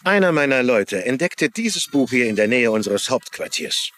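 A man's voice: mid-range voice, very animated, moderate pace, background noise, lightly acted. An actor playing a stern, suspicious male police commissioner in a TV crime drama, formal and admonishing. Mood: accusing. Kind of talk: German-dubbed TV crime drama acting.

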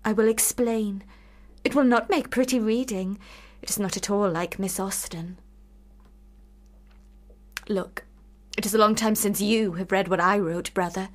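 An actress in a period radio drama playing a lovelorn, sharp-witted sister, speaking with formal, deliberate, cutting irony. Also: female voice, mid-range voice, fairly even intonation, natural voice. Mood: wounded, insistent.

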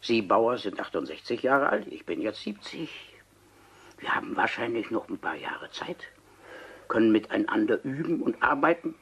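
An actor playing an obsessed, coolly persuasive seventy-year-old scientist in a radio crime play, deliberate and insistent, with dramatic pauses. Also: male voice, mid-range voice, very animated, gravelly, slightly rough, full character voice. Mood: calm, matter-of-fact. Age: older.

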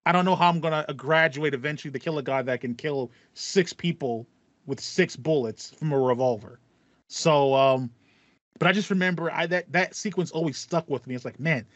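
A man's voice: medium pitch, no echo. American man chatting casually, slightly dull dry sound. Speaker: podcast co-host. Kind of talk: casual chat about video games. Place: small home room with foam wall panels. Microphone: headset, video call.

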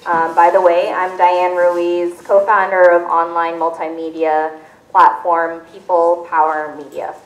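A woman in her forties whose first language is Tagalog, moderating a community panel on a handheld mic and reading measured remarks from notes. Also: lowish voice, little pitch movement, far from the mic. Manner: clear, measured, reads from notes. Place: community center hall with audience. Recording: echoey hall, voice a bit off-mic.

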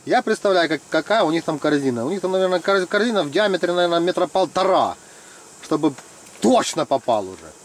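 A man's voice: fairly high pitch, a little fast. A middle-aged man chatting casually and teasing his friends while ice fishing in a tent. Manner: joking, teasing friends, lively. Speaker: fishing vlogger. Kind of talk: casual commentary.

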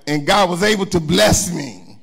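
A middle-aged man preaches in a church, talking to the congregation. His voice sits a little higher than most men's. He speaks into a handheld microphone and keeps turning to the congregation with asides. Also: very animated, moderate pace, creaky, fairly bright tone, breathy edge. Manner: conversational preaching with call-and-response asides. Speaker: pastor. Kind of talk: sermon. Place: church sanctuary, indoors.

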